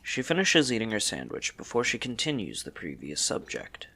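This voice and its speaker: Casting: male storybook narrator, lower-leaning register, highly expressive intonation, scripted read. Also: moderate pace, slight background noise.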